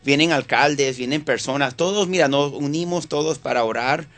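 A man's voice: a little high, moderate pace, a bit bright. Upbeat Venezuelan-born male radio host. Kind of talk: casual chat.

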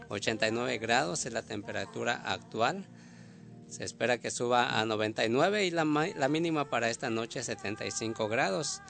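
Gravelly Mexican-born radio host man. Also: medium pitch, fairly bright tone. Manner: repetitive, devotional. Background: music.

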